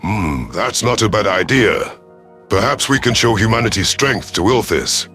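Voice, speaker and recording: A man narrating a sci-fi story in character as a stern, calculating alien commander, formal and deliberate, over music. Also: fairly low pitch, expressive, a bit bright.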